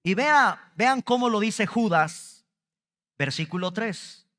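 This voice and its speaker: A man's voice: high-pitched, expressive, slow, bright, forceful tone, in a large hall. A man with a Mexican Spanish accent preaching a sermon at a church service, stretching key words and speaking straight to the congregation. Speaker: pastor.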